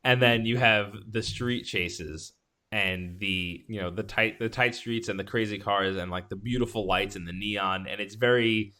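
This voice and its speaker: A man with a fairly low voice talks casually as a podcast host. He rambles enthusiastically through his thoughts, in the loose style of a movie review chat. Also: fairly even intonation, normal speed, sharp tone.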